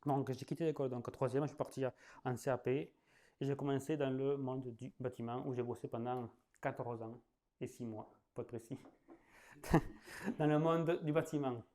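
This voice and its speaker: A man's voice: medium pitch, fairly even intonation, normal speed, dark tone. A man in his late thirties with a southwestern French accent, teaching sales in a loose, conversational style. He leans on fillers, restarts his sentences and laughs at his own jokes. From southwest France. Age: 30s. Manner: chatty, loose, full of fillers, laughs at himself. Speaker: sales trainer. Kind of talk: casual spoken masterclass.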